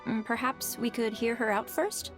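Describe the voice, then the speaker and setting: A woman's voice: a little high, moderate pace, over music, lightly acted. An actress voicing a gracious, tactful businesswoman in a video game, polite and persuasive. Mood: conciliatory.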